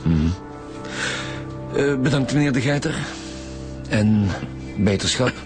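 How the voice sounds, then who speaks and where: An actor with a Belgian Dutch accent playing a businesslike, dry-witted chief homicide detective in a radio drama, matter-of-fact and probing. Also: male voice, mid-range voice, lively intonation, takes it slowly, over music. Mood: probing, polite.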